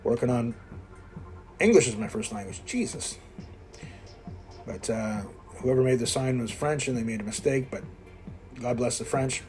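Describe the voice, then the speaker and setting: A man's voice: medium pitch, animated, moderate pace, pauses often, a little mellow, faint music behind, rough recording. A man in his fifties from Quebec, talking casually while working out in a gym and coaching viewers in a blunt, self-mocking way. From Quebec, Canada. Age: 50s. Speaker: fitness vlogger. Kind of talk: casual workout commentary. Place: indoor gym.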